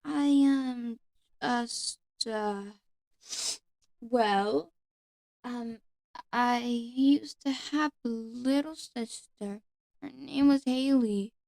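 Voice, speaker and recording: A woman voicing a lonely, ancient nature fairy, sweet and timid, speaking haltingly and sometimes whispering in an ASMR roleplay. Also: fairly high pitch, creaky, a little mellow, no echo, exaggerated voice. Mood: wistful, shy. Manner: hesitant, halting, apologetic, often whispering. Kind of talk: ASMR roleplay, one-sided conversation with the listener.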